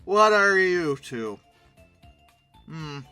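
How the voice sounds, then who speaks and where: Very bright forceful voice, animated casual commentator, mock-indignant, rambling humorous asides, dry close sound over music. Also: mid-range voice, extremely slow, smooth, no echo. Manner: rambling, conversational asides to the pictures. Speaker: host reviewing old dinosaur illustrations.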